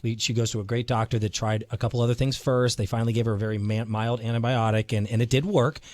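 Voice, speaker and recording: Fast-talking joking male co-host. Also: medium pitch, fairly even intonation, a little mellow, no room sound. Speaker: talk show co-host. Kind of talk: casual studio chat.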